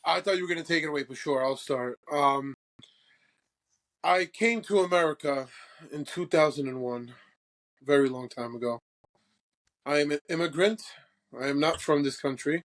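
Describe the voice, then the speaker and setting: A man's voice: a little high, moderate pace, long pauses, a bit bright. A young man from Croatia co-hosting a football podcast, brash and boastful, swearing freely in casual chat. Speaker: podcast co-host.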